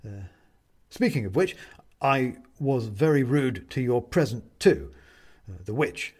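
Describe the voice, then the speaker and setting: Man playing a halting, awkward, solitary grump. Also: medium pitch, lively intonation, a little mellow, husky. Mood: awkward, apologetic. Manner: halting, hesitant, stammering politeness.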